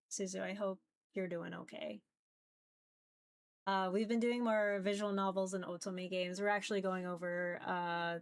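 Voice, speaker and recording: A woman's voice: medium pitch, vocal fry. A woman chatting casually to viewers as a livestreamer, informal and rambling, with a giggly manner. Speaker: visual novel streamer.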